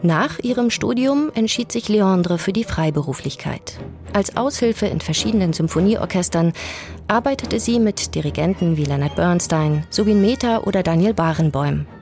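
A woman narrating a scripted radio documentary in German with a calm, measured delivery. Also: lowish voice, faint music behind.

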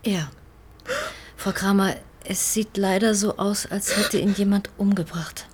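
An actress playing a composed, considerate police detective in a naturalistic crime drama. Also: female voice, medium pitch, fairly even intonation, a little slow, a little mellow, a little hiss or hum, natural voice. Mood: gentle, official.

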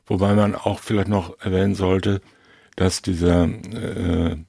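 A man discussing film reflectively in halting, long-winded, analytical sentences. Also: deep voice, level tone, creaky, warm tone, breathy edge, dry recording, studio quality. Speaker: podcast co-host discussing films.

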